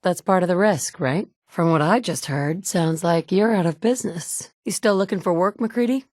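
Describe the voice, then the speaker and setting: A woman's voice: lowish voice, normal speed, a little mellow, no echo, studio quality, close to an everyday voice. An actress in her thirties playing a blunt, sardonic mother in a game, with clipped one-liners. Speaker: player character. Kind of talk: video game dialogue lines. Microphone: studio mic.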